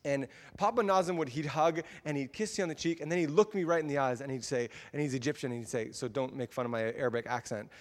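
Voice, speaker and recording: A man preaching a sermon, telling personal stories in a lively way and acting out what people said. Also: fast talker. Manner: animated, acts out quotes with big pitch jumps. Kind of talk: storytelling sermon. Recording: long hall reverb.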